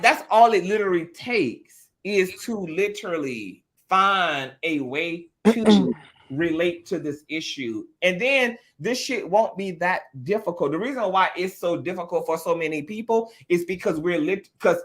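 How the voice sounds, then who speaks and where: A man's voice: sits high, expressive, measured pace, a bit bright. A man hosting a podcast discussion, speaking with passion, often repeating words and swearing casually.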